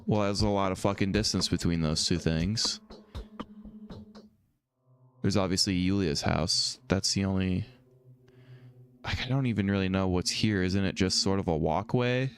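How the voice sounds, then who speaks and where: Brief: low-voiced male streamer, slightly hoarse, rambling let's-play chatter, faint music underneath. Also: normal speed, pauses often. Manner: rambling, thinks out loud. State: slightly hoarse from a throat infection. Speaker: streamer playing a video game. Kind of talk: casual let's-play commentary, reading dialogue aloud.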